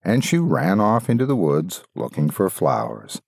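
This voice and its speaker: A man reads a fairytale in a deep, husky voice. His delivery is theatrical and deliberate, with huge swings of intonation. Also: wildly swooping pitch, a little mellow, no echo, clean studio sound. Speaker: storyteller, narrator. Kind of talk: dramatic scripted fairytale narration.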